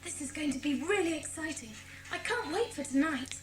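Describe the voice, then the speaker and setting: A woman's voice: high voice, expressive, a little fast. A female performer playing an eager, outspoken young schoolgirl in a children's audio drama.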